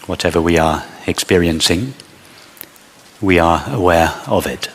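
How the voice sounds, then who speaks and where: A man leading a guided meditation as a teacher, speaking in measured short phrases with long pauses. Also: sits low, big-room sound.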